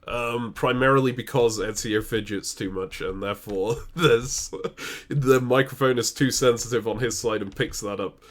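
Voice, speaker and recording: A man from the UK with a hybrid British accent and a naturally deep voice, co-hosting a casual Q&A over a remote call, chatty and laughing between answers. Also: steady tone, normal speed. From United Kingdom. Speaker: co-host. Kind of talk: casual chat. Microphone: remote call recording.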